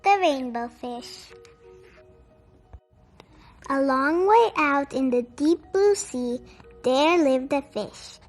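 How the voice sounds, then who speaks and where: A woman narrating a children's storybook in a measured, expressive storytelling manner. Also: high-pitched, soft background music. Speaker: storytime read-aloud host.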